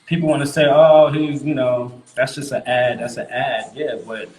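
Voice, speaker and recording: A man's voice: mid-range voice, level tone, normal speed, darkish tone, reverberant, a little hiss or hum, rough recording. A man from Queens, a guest artist talking casually and emphatically, repeating himself and using street slang. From Queens, New York. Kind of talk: casual interview chat.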